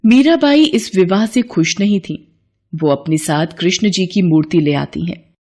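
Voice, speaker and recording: A woman's voice: fairly low pitch, animated, unhurried, mellow, soft background music. A woman giving scripted narration of a devotional moral story.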